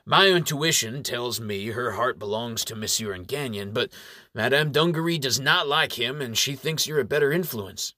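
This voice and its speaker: A man's voice: medium pitch, lively intonation, normal speed, bright, forceful tone, no room sound, studio quality. A man voicing a shy elf man with broken English. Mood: unsure, stubborn. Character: male elf suitor, shy, submissive.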